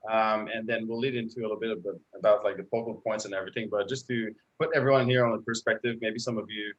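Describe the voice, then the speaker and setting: A man's voice: on the low side, fairly even intonation, quick pace, lo-fi sound. French Canadian man telling his story. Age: 30s. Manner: earnest, storytelling, many filler uhs. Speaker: guest coach. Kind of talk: casual live video-call interview. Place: indoors at home, bright room. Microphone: laptop webcam video call.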